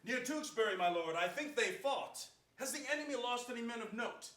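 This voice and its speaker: A man's voice: very high-pitched, expressive, a little fast, bright, forceful tone, full character voice. A middle-aged man playing a dutiful, sympathetic prison lieutenant, formally declaiming Shakespearean verse in a staged reading. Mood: concerned. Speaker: stage actor. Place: large indoor theater stage before an audience. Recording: echoey theater stage recording.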